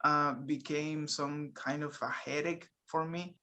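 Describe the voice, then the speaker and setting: A man whose first language is Spanish, co-hosting a casual school podcast and talking in halting English with many fillers and restarts. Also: a little high, fairly even intonation, bright, forceful tone. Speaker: podcast co-host, school staff. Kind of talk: casual chat.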